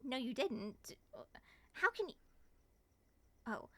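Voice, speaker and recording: A woman voicing an excitable, suspicious moth monster woman with theatrical, expressive delivery in an ASMR roleplay. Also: high-pitched, slow, no echo, full character voice. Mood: suspicious, agitated.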